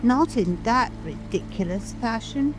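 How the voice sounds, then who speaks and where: A woman's voice: a little high, animated, music in the background, rough recording. A woman voicing a drowsy, grumbling little dormouse in a storybook dramatization, complaining sulkily. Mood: sulky. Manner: slow, complaining. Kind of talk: dramatized audiobook.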